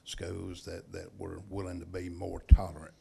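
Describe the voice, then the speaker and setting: Deep gravelly man, halting. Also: monotone, dark tone, a little hiss or hum. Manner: halting, repeats words. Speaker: school board member. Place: school board meeting room.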